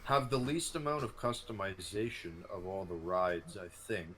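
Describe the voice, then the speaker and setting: A man co-hosting a gaming show, discussing gameplay casually in a halting, analytical, self-correcting way. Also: sits low, fairly bright tone. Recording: clearly noisy room ambience.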